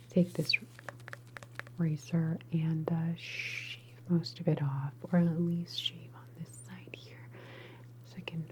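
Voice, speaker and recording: A woman's voice: on the low side, background noise. A woman playing an unhinged, superpowered experimenter in a sci-fi ASMR roleplay, whispering breathily in a casually clinical, faintly menacing way. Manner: unhurried, murmured, offhand and clinical.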